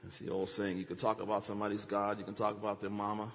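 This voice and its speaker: A man's voice: lowish voice, completely flat delivery, fast talker, big-room sound, highs cut off. A man preaching a teaching sermon, dramatic, acting out quotes with big swings in his voice.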